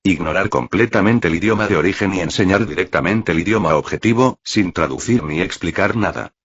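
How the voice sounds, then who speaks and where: Hombre de voz muy grave, narrando. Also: male voice, low voice, brisk, fairly bright tone, slightly rough. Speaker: audiobook narrator. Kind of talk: scripted story narration. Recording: muffled, roomy, lo-fi audio.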